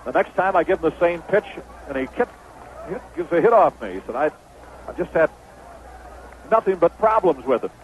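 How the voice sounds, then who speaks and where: A man's voice: a little high, big pitch swings, normal speed, pauses often. An American man calling a baseball game as a play-by-play announcer in 1970s radio style. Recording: dull, noisy old AM radio broadcast.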